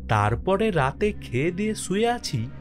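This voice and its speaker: A man playing an easygoing village postmaster who narrates his own ghost story in an expressive, dramatic way for a horror cartoon. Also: high voice, moderate pace. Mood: uneasy, suspenseful. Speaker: first-person narrator and lead character. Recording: music under the voice.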